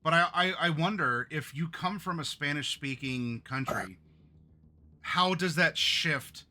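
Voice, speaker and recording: A man hosting a tabletop roleplaying stream, chatting with his players. His voice is bright and forward. He talks loosely, trips over his own words and laughs easily. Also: on the high side, a little slow. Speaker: game master and show host. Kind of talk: casual pre-game chat on a stream.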